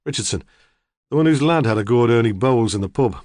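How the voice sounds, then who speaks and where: A man reading the lines of a calm, reserved police detective who speaks in a measured, quiet way. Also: mid-range voice, dry recording, studio quality, lightly acted.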